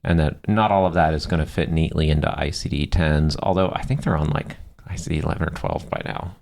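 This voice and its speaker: A man's voice: rumbling low, brisk, gravelly, a little mellow. A man co-hosting a podcast, talking conversationally and steering the discussion with firm opinions. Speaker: podcast co-host.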